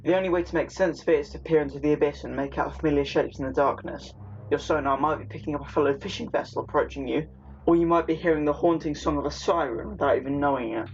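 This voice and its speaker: A man narrates a video essay in a somewhat high voice. His delivery moves between written narration and casual explanation. The recording is dull and lo-fi, with faint noise underneath. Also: fast.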